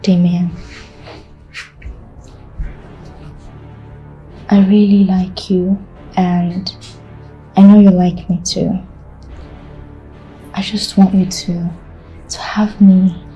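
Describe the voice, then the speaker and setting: A woman playing a slow, sultry seductress. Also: on the low side, level tone, mellow, highs cut off, soft background music. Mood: seductive.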